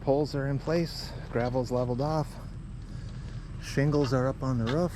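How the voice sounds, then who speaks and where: A man's voice: medium pitch, steady tone, normal speed, noisy background. A man giving an offhand, plainspoken narration of his building work, thinking aloud as he goes outdoors. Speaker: builder narrating own construction project. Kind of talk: casual DIY work narration. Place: outdoor building site beside a garage.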